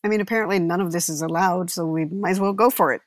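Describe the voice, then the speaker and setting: An actress in an audio play playing a wry, warm-hearted woman among family, in a naturalistic comic style. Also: fairly low pitch, steady tone, fast talker, no echo, clean studio sound, close to an everyday voice. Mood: playful. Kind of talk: naturalistic comedy acting.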